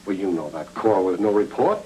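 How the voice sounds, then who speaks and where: An actor playing a stern, needling homicide lieutenant, clipped and sardonic, in 1940s film noir style. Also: male voice, deep voice, expressive, brisk, mellow. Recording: noisy old film soundtrack with hum.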